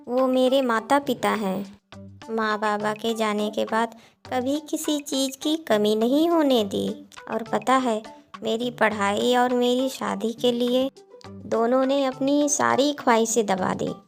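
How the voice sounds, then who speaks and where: A woman acts out a devoted young married sister in a cartoon family tale. Her voice is high, and she speaks with earnest, caring insistence. Light music runs underneath. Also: slow pace, creaky. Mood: tender, grateful. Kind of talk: Hindi cartoon moral story dialogue. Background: background music.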